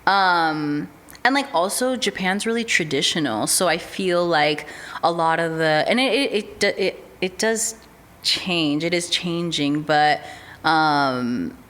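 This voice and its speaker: A woman's voice: lowish voice, slow pace. A young woman from the United States, chatting casually as a podcast guest, with a lot of "um" and "like". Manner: relaxed, chatty, with many fillers like "um" and "like". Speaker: podcast guest, artist manager. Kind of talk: casual interview chat.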